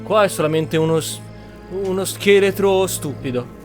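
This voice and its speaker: A man's voice: high-pitched, normal speed. An Italian man giving informal, opinionated commentary as he plays through a video game. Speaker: gaming streamer. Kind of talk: casual playthrough commentary. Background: faint game music.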